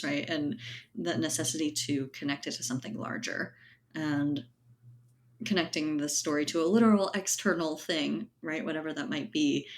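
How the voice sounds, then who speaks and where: A woman's voice: deep voice, a little hiss or hum. A woman speaking as a writer being interviewed, reflective and conversational, often checking in with "right" and "you know". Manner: thoughtful, hedging, often ends phrases with right or you know. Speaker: podcast guest, writer and editor.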